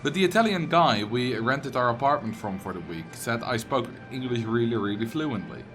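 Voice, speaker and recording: A man's voice: mid-range voice, expressive, normal speed. Chatty Dutch-accented man streaming. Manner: chatty, rambling, answers viewers' messages. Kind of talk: casual livestream chat while playing a game. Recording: game music playing behind.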